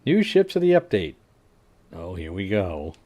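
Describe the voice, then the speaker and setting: A man with a fairly low voice talks through game update notes in a loose, livestream way. He reads the notes, stumbles over numbers with fillers and restarts, then drops dry little asides that fade to a mutter. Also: big pitch swings, a little slow. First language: English. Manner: reads notes, then adds wry asides that trail off quietly; frequent fillers and restarts while working out numbers. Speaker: livestream host. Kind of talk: casual commentary reading game update notes aloud.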